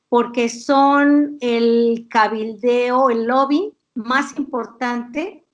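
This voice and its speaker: A woman giving an explanatory interview as an academic expert, in a didactic, deliberate way with hesitant pauses. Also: on the high side.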